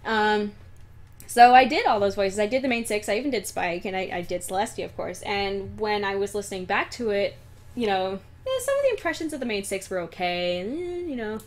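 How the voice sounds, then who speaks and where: A woman's voice: mid-range voice, lively intonation, fairly quick. A young woman, a guest voice actress and singer, chatting casually in an interview, giggling and rambling with lots of fillers.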